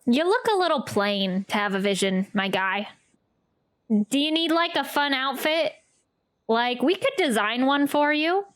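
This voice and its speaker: High-voiced woman streamer, motherly and casual. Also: lively intonation, measured pace, a bit bright. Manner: motherly, coaxing, repeats phrases. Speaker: game streamer. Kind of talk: casual playthrough reaction chat. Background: game music.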